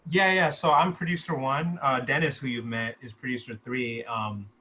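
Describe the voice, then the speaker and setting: A man's voice: medium pitch, fairly even intonation, normal speed, pushed and bright. A man talking casually in a group discussion as a filmmaker, explaining his own work. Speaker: filmmaker discussing his short script at a table read.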